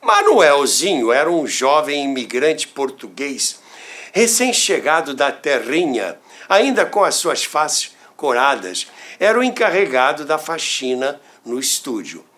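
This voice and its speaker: An older man with a Brazilian accent tells anecdotes in a theatrical, deliberate way, with dramatic pauses and asides to the listener. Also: a little high, wildly swooping pitch, a bit bright. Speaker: storyteller, former radio worker. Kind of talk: animated anecdotal storytelling podcast narration.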